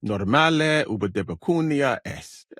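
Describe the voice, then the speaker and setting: A man playing a courteous, learned foreign physician in a medieval video game, speaking in measured, formal phrases with calm thoughtfulness. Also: mid-range voice, dry recording, clean studio sound, lightly acted. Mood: calm, thoughtful.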